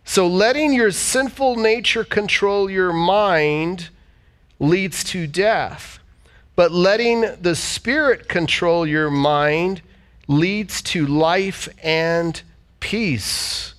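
A pastor delivering a sermon, a man whose voice sits notably high. He reads scripture aloud and pauses to have the congregation repeat key words back. The service is also streamed to viewers online. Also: fairly bright tone, smooth. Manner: preaching with call-and-response and scripture reading. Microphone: church service streamed online.

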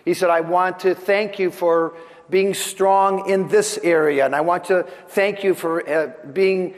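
A man preaching a sermon as a pastor, speaking deliberately and earnestly, his voice slightly off after a throat infection. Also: sits high, steady tone. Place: large church hall during a service. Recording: long hall reverb.

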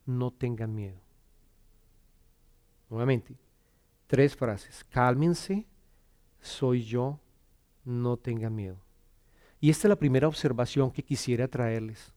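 A middle-aged Colombian man with a Bogotá accent, preaching to a congregation. His voice is mellow and warm at an ordinary middle pitch. He talks earnestly and haltingly, stumbling over repeated words. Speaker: church speaker giving testimony. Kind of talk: sermon and personal testimony.

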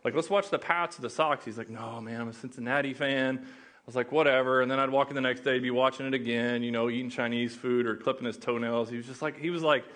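A man's voice: mid-range voice, fairly even intonation, quick pace, fairly bright tone. Man, Oklahoma accent, guest pastor, casual joking sermon, mid-range voice. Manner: casual, joking, chatty with audience.

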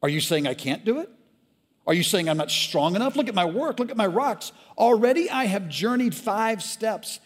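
A man voicing a proud, desperate young man who shouts in shrill indignation. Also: lively intonation, normal speed, big-room sound. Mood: defiant. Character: proud desperate young man, a guilt-driven path builder.

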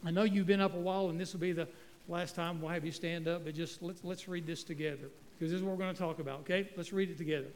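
A middle-aged guest pastor from North Carolina, preaching in a folksy, joking way with stumbling restarts. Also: male voice, a little high, steady tone, fast, darkish tone, big-room sound, a little hiss or hum. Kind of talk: sermon. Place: church sanctuary. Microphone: handheld microphone.

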